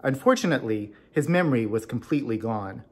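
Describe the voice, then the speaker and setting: A man's voice: mid-range voice, lively intonation, fast talker, darkish tone, slightly rough. Man reading a story aloud. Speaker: club officer introducing and reading a story. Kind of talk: storytelling read-aloud with spoken introduction.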